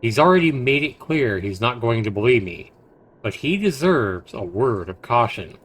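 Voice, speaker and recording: A man streaming a visual novel, reading it aloud haltingly with stumbles, self-corrections and casual asides. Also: mid-range voice, very animated, fairly bright tone.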